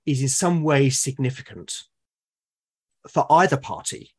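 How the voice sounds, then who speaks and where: A British man chatting as a podcast guest, thoughtful and halting as he builds his points. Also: mid-range voice, husky. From Britain. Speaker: podcast guest, game master and podcast creator. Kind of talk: casual interview conversation.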